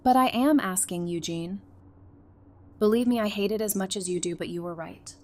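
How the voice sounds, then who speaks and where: A woman acting a defiant, sharp-tongued but protective survivor in an audio drama, blunt and intense in her delivery. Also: medium pitch, normal speed, natural voice. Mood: pleading, earnest. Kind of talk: full-cast fan audio drama acting. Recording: clear background noise.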